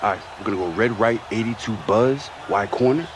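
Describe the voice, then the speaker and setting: An actor playing an earnest, respectful young American quarterback in a video game cutscene. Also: male voice, fairly low pitch, brisk, noisy background, close to an everyday voice. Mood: commanding. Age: young adult.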